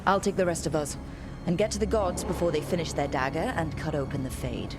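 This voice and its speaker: An actress playing a resolute fantasy team leader in a video game, decisive and commanding. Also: female voice, deep voice, a little fast, background noise, natural voice. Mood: steady resolve. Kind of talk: video game drama acting.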